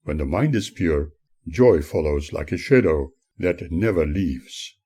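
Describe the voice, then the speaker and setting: A man narrating his own spiritual audiobook as its author, in an earnest, preachy manner with measured phrasing and a husky voice. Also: low voice, lively intonation, dark tone. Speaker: author reading own book.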